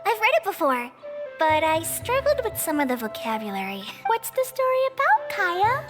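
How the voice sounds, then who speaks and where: A woman voicing a gentle, earnest teenage girl in a video game, with a soft youthful voice and thoughtful delivery. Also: extremely high pitch, huge pitch swings, moderate pace, music in the background, heavily acted. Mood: modest. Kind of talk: video game cutscene voice acting.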